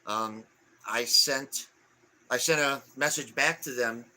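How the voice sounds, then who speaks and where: A man in his forties co-hosting a casual live stream, reading viewer comments aloud in a halting way with lots of fillers. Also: mid-range voice, pushed and bright, low-quality recording. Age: 40s.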